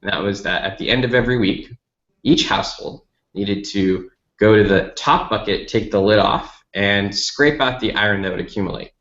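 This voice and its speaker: Low-voiced American man in a roomy space. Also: normal speed, a bit bright. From Dallas, United States. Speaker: company founder, interview guest. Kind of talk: explanatory interview answers. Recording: roomy, slightly dull lo-fi audio.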